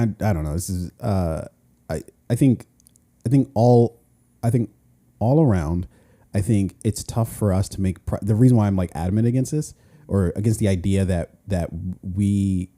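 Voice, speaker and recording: A man with a very dark, low-set voice talks through an idea on a podcast. He rambles, restarts his sentences and drops casual swearing as he works out his point. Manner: rambling, profane, restarts often. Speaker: podcast co-host. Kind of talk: casual chat.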